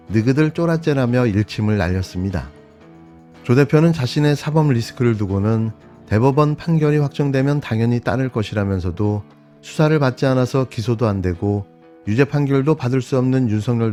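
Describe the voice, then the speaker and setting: A man with a mellow, relaxed, dark voice reads a scripted news commentary at a steady pace, his tone sharply opinionated. Faint music plays beneath him. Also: medium pitch.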